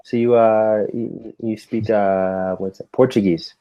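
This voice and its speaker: A man's voice: on the low side, fairly even intonation, unhurried, highs cut off. An American man working as an English tutor, chatting casually and patiently in an encouraging, informal way. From United States. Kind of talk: casual conversational lesson.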